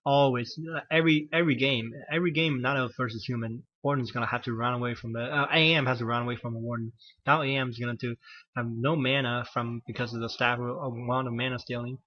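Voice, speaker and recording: Hoarse man rambling through game commentary. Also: mid-range voice, steady tone, fast talker, muffled recording. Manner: rambling, self-correcting, frequent fillers. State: losing his voice, coughing. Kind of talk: solo play-by-play esports commentary.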